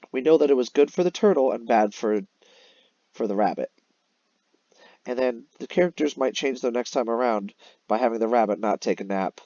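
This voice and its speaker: A man's voice: medium pitch, mellow, no echo, highs cut off. A man teaching young children in an explanatory way, as in a recorded read-aloud lesson. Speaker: elementary teacher. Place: voice-over on a screen-recorded slide lesson.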